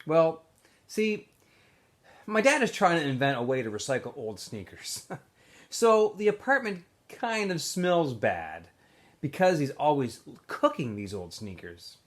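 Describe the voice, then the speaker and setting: A man's voice: fairly high pitch, big pitch swings, a little slow. A man voicing a polite, awkward teenage boy in a storybook, with a hesitant, casual way of talking. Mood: awkward, amused.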